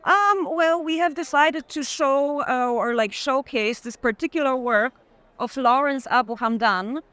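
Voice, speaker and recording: A woman curator co-leading a live walking tour, talking in a measured, hesitant way with short pauses. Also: high voice, a bit bright, no echo. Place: art fair, walking.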